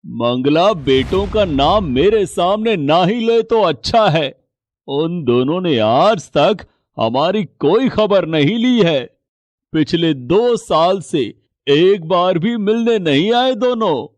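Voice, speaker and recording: A man voicing an aging poor fisherman in a cartoon story, speaking softly and reassuringly. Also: high-pitched, lively intonation, slow pace, no echo. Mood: worried. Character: aging poor male fisherman, weary and gentle.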